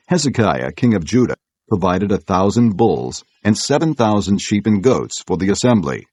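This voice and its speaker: A man reading scripture as an audiobook narrator, in a measured, solemn way. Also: low voice, a little mellow, husky, no echo.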